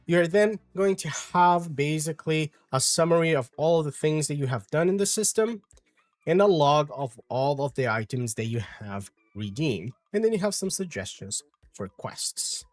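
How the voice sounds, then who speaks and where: A man's voice: on the high side, animated, normal speed, no room sound, soft background music. A man in his forties with a Brazilian Portuguese accent, an English teacher patiently explaining his course step by step.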